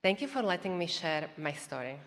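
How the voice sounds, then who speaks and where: A woman's voice: fairly low pitch, big pitch swings, fairly bright tone. A woman in her thirties from Slovakia with a Slovak accent, earnestly giving a prepared testimony to a church congregation. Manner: earnest, measured, reading a prepared script. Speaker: church member sharing testimony. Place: church auditorium. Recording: long reverb of a large hall.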